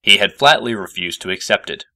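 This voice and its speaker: A man narrating a scripted story as a reader, in an expressive storytelling manner. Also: on the low side, fairly quick, no echo, clean studio sound. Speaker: fan fiction reader.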